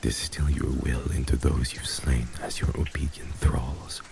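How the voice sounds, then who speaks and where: Extremely deep, gravelly vampire lord. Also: male voice, level tone, background noise, heavily acted. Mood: dark incantation. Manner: formal, grave, measured, commanding. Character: heartless, commanding male vampire lord and mafia boss. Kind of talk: scripted ASMR roleplay audio drama.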